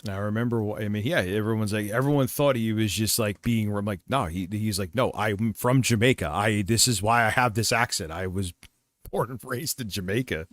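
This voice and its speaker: Middle-aged male streamer, low voice, dry close sound, rambling and profane. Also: brisk, a bit bright, no echo. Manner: profane, meandering, reminiscing. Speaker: streamer reacting to another stream. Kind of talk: casual rambling commentary.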